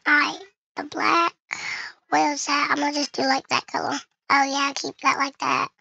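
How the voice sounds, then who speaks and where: High bright kid-like voice thru a voice changer, chatty gamer talking to viewers while playing. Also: level tone, normal speed, creaky, no echo. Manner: chatty, addresses viewers, thinks aloud. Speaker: gaming video creator. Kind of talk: casual game commentary while playing.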